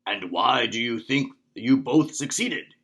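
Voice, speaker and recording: A man voicing a calm, instructive male martial arts master in a children's storybook. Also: medium pitch, takes it slowly. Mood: questioning. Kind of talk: children's storybook read-aloud.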